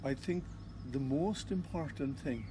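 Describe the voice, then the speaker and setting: A man with an Irish accent, a psychotherapist answering interview questions in a calm, measured way. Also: fairly high pitch, noisy background. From Ireland. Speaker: psychotherapist and constellations facilitator.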